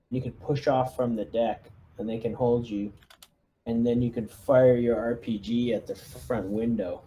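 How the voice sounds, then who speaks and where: A man's voice: mid-range voice, warm tone. A man playing a squad leader in a casual online tabletop game, giving directions in an easygoing, slightly hesitant way. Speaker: player acting as squad leader. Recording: clear background noise, room ambience.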